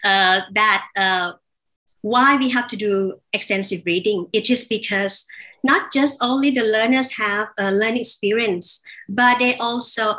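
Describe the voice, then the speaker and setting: A woman from Thailand speaking as an associate professor on a webinar panel, halting and correcting herself often as she explains. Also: medium pitch, pushed and bright, rough recording. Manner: halting, with frequent fillers and self-corrections. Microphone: video call.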